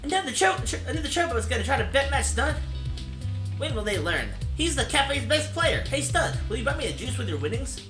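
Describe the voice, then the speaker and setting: A man puts on an excitable middle-school kid from a visual novel. The voice jumps up high and is loud, eager and mocking. It sounds roomy, with music underneath. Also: fairly quick. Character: excitable middle-school kids cheering on a gamer. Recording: roomy echo, game music behind.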